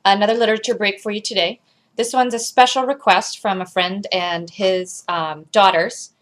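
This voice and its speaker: A woman's voice: mid-range voice, steady tone, normal speed. A woman speaking casually as the host of a reading series. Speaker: reader hosting a literature series. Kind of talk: casual chat to camera.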